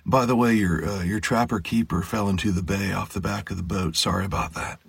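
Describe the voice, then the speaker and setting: Man putting on a husky laid-back surfer dude. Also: low-pitched, fairly even intonation, fairly quick, a bit bright, no room sound, full character voice.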